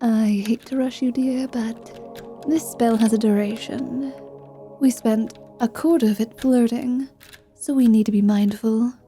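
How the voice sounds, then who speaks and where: A woman's voice: a little high, steady tone, unhurried, dark tone, faint music behind. A woman voicing a flirty, sardonic, clever vampire rogue in an ASMR fantasy roleplay, with a teasing, impatient, sweary manner.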